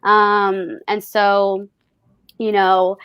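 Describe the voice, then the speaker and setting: A woman speaking casually and reflectively in an interview, telling personal stories in an expressive way. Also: medium pitch, extremely slow, smooth, no echo. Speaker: festival artist being interviewed.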